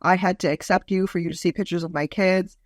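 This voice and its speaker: Deep-voiced woman in her forties. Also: quick pace, no echo, clean studio sound. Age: 40s. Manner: lively, storytelling, mocking asides. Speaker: guest, comedian. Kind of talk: casual interview chat.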